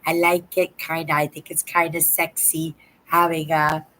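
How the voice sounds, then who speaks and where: Older woman, livestream host, hoarse from a sore throat; rambling chatty delivery, pitched slightly low. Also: flat delivery, moderate pace, pushed and bright, slight background noise. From Canada. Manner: rambling, chatty, keeps adding "right?". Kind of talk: casual live chat to viewers.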